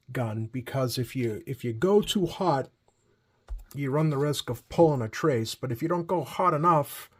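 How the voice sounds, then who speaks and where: A man giving a casual, unscripted hands-on commentary as a hobbyist repairer, easygoing and halting as he thinks aloud. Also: mid-range voice. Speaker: radio repair hobbyist hosting a video.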